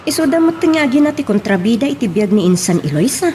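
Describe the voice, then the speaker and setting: Loyal, worried young cousin, played by a woman, Ilocano Filipino accent, high lively voice, faint noise behind, audio drama. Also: quick pace. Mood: troubled, earnest.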